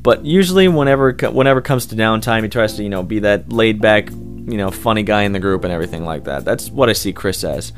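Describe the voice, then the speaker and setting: A man with a fairly low voice answers interview questions as a hobbyist voice actor. He talks in an informal, rambling, enthusiastic way, unscripted. Soft guitar music plays behind him. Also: animated, fast talker. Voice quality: loud voice. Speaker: amateur voice actor being interviewed.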